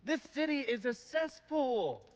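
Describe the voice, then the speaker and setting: An actor playing a cynical, foul-mouthed American schemer in a video game, sarcastic and glib. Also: male voice, very high voice, very animated, a little slow, highs cut off, full character voice. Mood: scornful. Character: cynical, foul-mouthed, sardonic schemer, mocking and world-wise. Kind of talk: dramatic video game acting.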